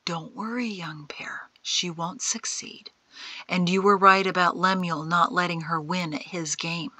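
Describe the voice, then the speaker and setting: A woman voicing a calm, wry old mentor in an audiobook, breathy and half-whispered, unhurried and gently chiding. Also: fairly low pitch, no echo, rough recording. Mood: calm, reassuring. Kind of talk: audiobook character acting.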